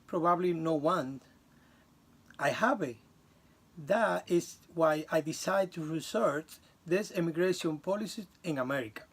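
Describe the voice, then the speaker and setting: Slow, gravelly man. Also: on the high side. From Dominican Republic. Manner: halting and earnest, with restarts and some non-native grammar. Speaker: student. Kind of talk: class presentation recorded on video.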